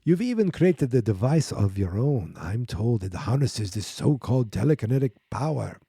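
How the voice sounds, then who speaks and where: A man voicing a grandly secretive Soviet doctor with a put-on, Dracula-like Russian accent, pausing theatrically. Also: mid-range voice, big pitch swings, creaky, mellow, slightly rough, dry recording, studio quality, heavily acted. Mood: intrigued, grand. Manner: theatrical, pausing at ends of sentences.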